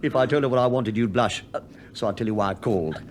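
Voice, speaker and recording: A man playing a wry, flirtatious English police inspector in a radio drama, smooth-talking and dryly humorous. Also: medium pitch, fast talker, slight background noise, lightly acted. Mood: flirty, teasing. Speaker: actor in a radio play. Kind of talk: British radio drama acting.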